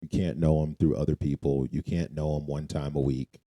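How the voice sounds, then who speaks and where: A man's voice: very deep voice, steady tone, moderate pace, warm tone. A man co-hosting a podcast in a casual faith conversation, informal and emphatic, repeating phrases to drive them home. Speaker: podcast co-host.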